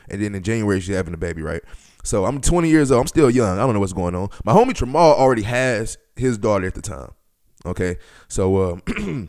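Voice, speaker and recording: A man in his twenties hosting a podcast, telling stories casually in slangy, profane language and acting out bits of dialogue. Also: mid-range voice. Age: 20s. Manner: slangy, profane, animated retelling with quoted dialogue. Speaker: podcast host. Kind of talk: casual storytelling podcast talk.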